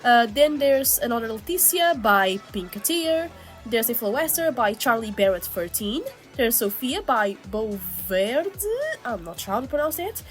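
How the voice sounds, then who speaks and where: A woman's voice: fairly high pitch, animated, unhurried. A woman with a slightly sick voice giving casual, informal co-host commentary on drawings, chatty and full of "like" asides. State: sick, still under the weather. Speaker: co-host, digital artist. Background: background music.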